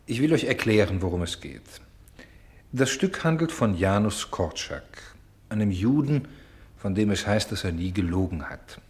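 A man acting as an insistent, solemn narrator and director in a German radio play, speaking deliberately with emphatic pauses. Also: lowish voice, animated, darkish tone, reverberant, natural voice. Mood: earnest, explaining. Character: solemn, insistent male stage narrator presenting a grave play. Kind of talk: theatrical German radio play, addressing the audience.

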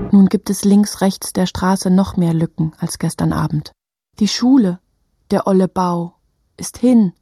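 A sober woman narrator in a wartime radio play. Her voice is mellow and somewhat low, and she speaks in clipped, literary fragments over faint noise. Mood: terse, sober. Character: sober woman narrator observing a city at war.

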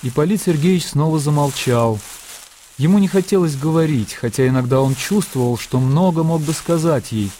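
A man narrating a classic story in a radio play, with a measured, lyrical, literary delivery. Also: on the high side, warm tone, slight background noise, natural voice. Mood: tender, reflective. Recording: music under the narration. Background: music.

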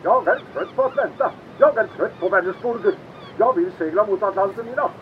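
A man's voice: sits high, narrow, tinny audio, slight background noise. A man playing an impatient, mocking navy captain in an audio drama, curt and commanding, heard over a radio. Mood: cold, impatient.

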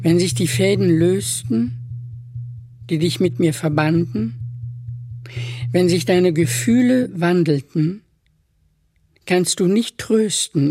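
A man reciting poetry as a reader in a deliberate, declamatory way. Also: a little high, a little mellow. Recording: music playing behind the voice. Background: music.

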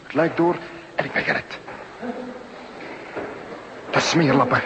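An actor playing a panicking burglar on the run in a radio drama, cursing and muttering tensely to himself. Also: male voice, mid-range voice, takes it slowly, highs cut off, natural voice. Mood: panicked. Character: panicking male burglar fleeing over rooftops. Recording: noisy, long hall reverb.

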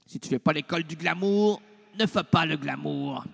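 A man's voice: fairly high pitch, moderate pace, vocal fry. A middle-aged man with a Québécois French accent hosting a radio show, in theatrical and playful improvised banter that jumps between registers. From Quebec.

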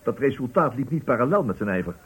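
An actor in a radio play playing a nervous, servile factory foreman, hesitant and deferential, with a very rough, husky voice. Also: mid-range voice, very animated, fast talker, low-quality recording. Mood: earnest explaining. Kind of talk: Dutch radio play acting.

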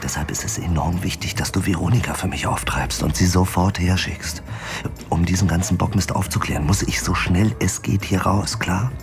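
A man's voice: sits low, moderate pace, music in the background. An actor in a German radio crime drama playing a weary, exasperated hard-boiled man in trouble, speaking colloquially. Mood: urgent, defensive.

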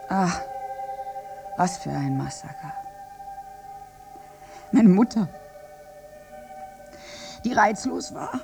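An actress playing a bitter, wounded village woman who survived a massacre, in a theatrical, morbidly wry radio drama monologue. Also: medium pitch. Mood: grim, sardonic.

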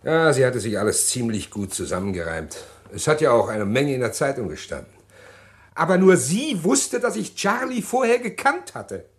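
An actor playing a middle-aged man who calmly confesses a murder plot in an old radio play, measured and hesitant. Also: mid-range voice. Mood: calm confessing. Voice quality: measured, deliberate, hesitant with pauses. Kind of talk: 1950s radio drama acting.

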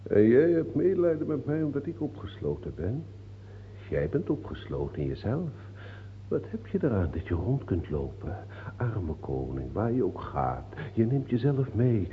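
A man acting in a radio play as an old imprisoned bishop, mocking and melancholic, declamatory and given to breathy murmurs. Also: fairly low pitch, big pitch swings, moderate pace. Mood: scornful. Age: older. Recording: dull old radio recording, hiss and hum.

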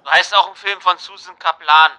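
Guy calling into radio, high bright voice, talks fast, pedantic and argumentative. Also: steady tone. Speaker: radio caller. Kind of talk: casual comic debate.

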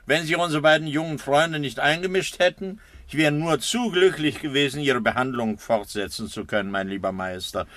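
An actor playing a villainous nerve doctor in a 1960s German radio thriller, mock-friendly, sardonic and coldly menacing. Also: male voice, on the high side, moderate pace, hardly any pauses, fairly bright tone, no room sound, close to an everyday voice. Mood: smugly threatening.

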